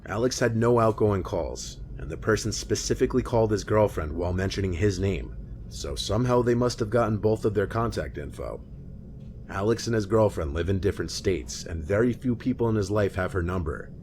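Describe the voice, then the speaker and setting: A man reading a scripted scary-story narration in a measured, matter-of-fact way. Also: lowish voice, lively intonation, darkish tone, a little hiss or hum. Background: music bed.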